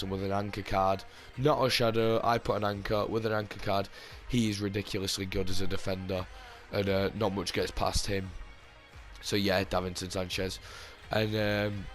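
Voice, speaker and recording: Slightly hoarse man, flat and low. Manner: rambling, with many fillers and restarts. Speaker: gaming channel host. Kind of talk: casual gameplay commentary.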